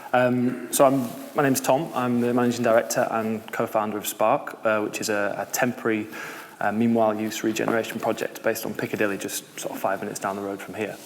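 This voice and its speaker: A man from York speaks with earnest enthusiasm, pausing often on "um" as he gathers his thoughts. His voice sits a little low, and a long hall reverb trails each phrase. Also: little pitch movement, slight background noise. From York, England. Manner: earnest and passionate, many fillers. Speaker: managing director and co-founder on a panel. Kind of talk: panel introduction.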